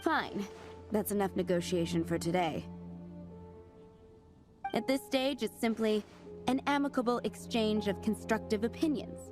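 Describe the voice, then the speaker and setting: A woman's voice: medium pitch, a little slow, long pauses, over music, lightly acted. A woman playing a haughty, pushy diplomatic envoy in a video game, speaking in a formal, condescending manner. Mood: smooth, dismissive. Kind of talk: anime-style video game acting.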